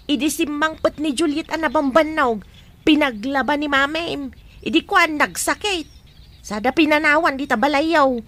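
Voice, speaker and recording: A very high-voiced helpful neighbor. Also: female voice, normal speed, a bit bright. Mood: explaining. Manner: eager, helpful. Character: helpful young neighbor woman.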